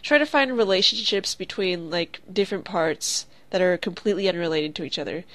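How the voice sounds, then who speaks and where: A woman's voice: lowish voice, fairly quick, a bit bright, no room sound. A woman with a stuffy, cold-stuffed voice, hosting a casual art tutorial, chatty and profane, with lots of "like". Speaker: art channel host.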